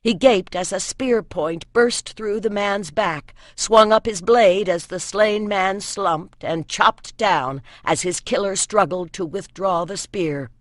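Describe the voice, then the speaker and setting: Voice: mid-range voice, takes it slowly, few pauses, dry recording. Dramatic fantasy audiobook narrator, very dry sound.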